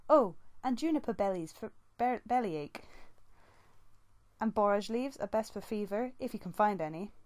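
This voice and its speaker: A serious young medicine cat from an animal storybook, read by a woman. She speaks gently and earnestly in a slightly raised voice, anxious and caring. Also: medium pitch, expressive, normal speed, pitched above the performer's own voice, close to an everyday voice. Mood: earnest, hurried. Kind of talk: audiobook character dialogue.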